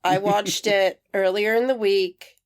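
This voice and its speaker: A woman's voice: medium pitch, steady tone, measured pace. Nasal-voiced woman podcast co-host. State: nasal voice from a cold. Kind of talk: casual chat.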